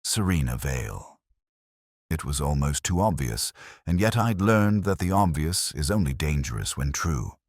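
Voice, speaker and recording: Very deep, brooding detective played by a man. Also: big pitch swings, dry recording, clean studio sound, full character voice. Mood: solemn, hushed. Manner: measured, solemn, reflective. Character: brooding male English police inspector narrating his investigation. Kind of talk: literary first-person scripted narration.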